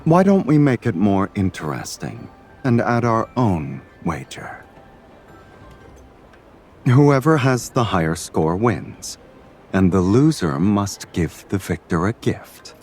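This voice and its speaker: A man playing a suave, teasing, self-assured love interest in a video game, speaking in a smooth, wry manner. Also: fairly low pitch, very animated, slow, darkish tone, faint music behind, exaggerated voice. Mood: playful, confident. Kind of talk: video game cutscene voice acting.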